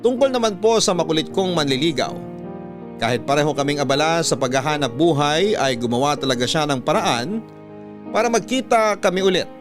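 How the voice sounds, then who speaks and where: A man's voice: a little high, animated, fairly quick. A man narrating a scripted first-person story as a radio host reading out a listener's letter. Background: music.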